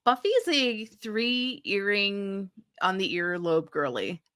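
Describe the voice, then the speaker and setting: A woman's voice: medium pitch, expressive, slow pace, a bit bright, smooth, dry recording, studio quality. A woman chatting casually and bluntly as a podcast host recapping television.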